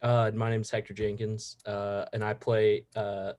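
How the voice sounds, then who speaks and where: Halting teenage actor, low voice. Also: male voice, monotone, darkish tone. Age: late teens. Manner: halting, slow. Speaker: actor and composer. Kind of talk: casual interview answers.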